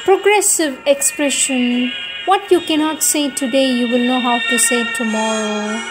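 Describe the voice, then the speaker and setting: A woman giving a devotional scripted reading in a measured, deliberate manner, pausing between phrases. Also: sits high, poor audio. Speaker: reader. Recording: loud music under the voice. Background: music.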